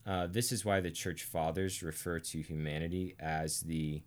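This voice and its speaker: An American man from Arkansas teaching theology conversationally, speaking deliberately and emphatically with pauses for effect. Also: low-pitched, fairly even intonation, a little hiss or hum. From Arkansas, United States. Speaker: teacher and co-host of a theology series.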